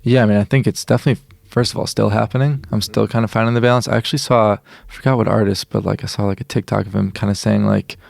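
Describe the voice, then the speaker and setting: A man's voice: fairly low pitch, steady tone, quick pace, vocal fry, few pauses, a little mellow, dry recording. A young man from Illinois, a musician being interviewed, talking casually and earnestly with lots of "like" and restarts. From Illinois, USA. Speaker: musician guest.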